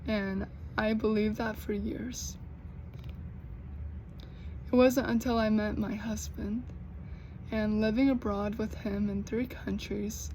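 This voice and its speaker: A young American woman who stutters, with repeated sounds and blocks, talking slowly and earnestly in a personal vlog. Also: mid-range voice, a little hiss or hum, poor audio. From United States. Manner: slow, earnest, gently encouraging. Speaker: vlogger.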